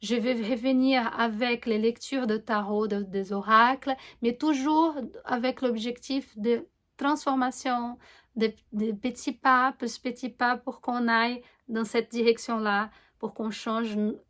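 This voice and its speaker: Woman astrologer, higher register, casual and earnest, halting delivery with repeated words. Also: steady tone, fairly bright tone. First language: Portuguese. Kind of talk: casual explanation to camera.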